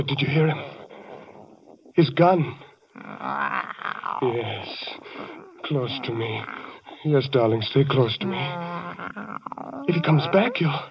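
A man's voice: medium pitch, recorded from a distance, highs cut off. An actor playing a frantic man guarding his cursed wife, in 1940s radio acting style. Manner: desperate, pleading, then wildly shouting.

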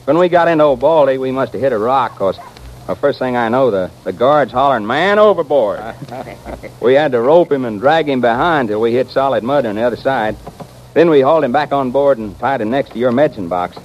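A man's voice: medium pitch, animated, brisk, lightly acted. Man doing a grumbly old west stage driver, folksy tall-tale talk, muffled hissy radio recording. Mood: amused, folksy. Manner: folksy, colorful backwoods drawl. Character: salty, grumbling frontier stagecoach driver, full of tall tales. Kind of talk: 1940s-50s radio western acting.

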